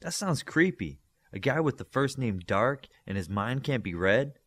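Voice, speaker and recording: A man's voice: medium pitch, sing-song, moderate pace, fairly bright tone. A man voicing a curious, bantering teenage superhero boy in an audiobook story. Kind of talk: audiobook character dialogue.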